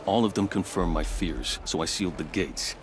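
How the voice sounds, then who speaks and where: Guy playing fort captain in a game, really deep voice, calm n polite, faint outdoor noise. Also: close to an everyday voice. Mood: measured. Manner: measured, polite, matter-of-fact. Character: fort captain, calm, pragmatic, courteous military man. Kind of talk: video game dialogue acting.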